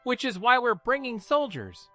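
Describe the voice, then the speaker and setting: A man's voice: extremely high pitch, sing-song, normal speed, sharp tone, faint music behind, voice pushed up, heavily acted. A man voicing a resolute senior policewoman in a dramatised story, with an authoritative, clipped manner. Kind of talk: dramatised folktale narration.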